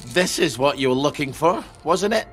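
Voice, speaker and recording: Actor as blunt, higher-voiced haunted soldier. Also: male voice, expressive, moderate pace, faint music behind, natural voice. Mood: sardonic. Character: gruff, haunted male ex-soldier, stubborn and blunt. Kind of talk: video game voice acting.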